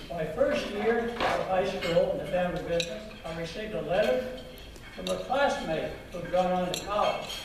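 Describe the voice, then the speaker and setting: A man's voice: sits high, background noise. Older man telling his story in an echoey hall. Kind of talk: personal storytelling talk. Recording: echoey and distant with loud background clatter.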